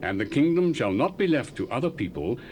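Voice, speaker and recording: Man as a solemn prophet, formal old-fashioned speech, very lively pitch, faint hiss. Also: a little fast, natural voice. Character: young male prophet, solemn and reverent. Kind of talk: dramatized scripture reading.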